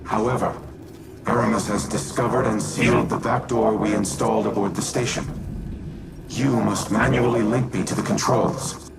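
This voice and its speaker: An actor playing a solemn, self-sacrificing military artificial intelligence with a gravelly voice and formal, resolute, measured speech in a game cutscene. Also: male voice, fairly low pitch, steady tone, very noisy. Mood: urgent, commanding. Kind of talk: scripted game cutscene acting. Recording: loud music behind voice.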